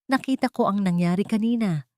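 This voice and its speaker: A woman voicing a kind, gentle old grandfather in a narrated love story. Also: mid-range voice, fairly quick, no room sound, studio quality, pitched above the performer's own voice, natural voice. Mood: reassuring.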